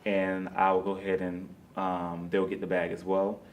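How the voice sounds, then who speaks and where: A man's voice: sits low, monotone, measured pace, slight background noise. A man speaking casually as an interview guest, promoting a show in informal wording and repeating phrases for emphasis. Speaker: interview guest, stage play producer.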